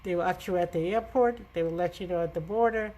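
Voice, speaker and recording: A woman's voice: fairly low pitch, quick pace, mellow, muffled recording. A middle-aged woman with a New York accent hosting a live travel talk, emphatic and scolding in a chatty way. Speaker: travel agent host.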